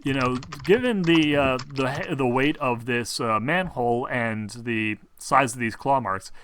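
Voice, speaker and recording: A man improvising narration as game master of a tabletop game, thinking aloud in a halting, conversational way. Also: medium pitch, background noise.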